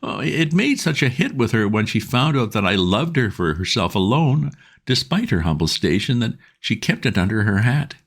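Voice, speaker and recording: A man doing a flustered young gentleman. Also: medium pitch, very animated, moderate pace, voice pushed up. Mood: aggrieved. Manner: agitated, exclamatory.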